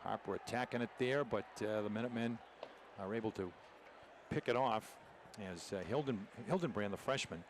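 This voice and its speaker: A man's voice: lowish voice, normal speed. Male hockey play-by-play caller, noisy rink. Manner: clipped, running description of the action. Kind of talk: live sports commentary. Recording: noisy arena broadcast, rink ambience behind.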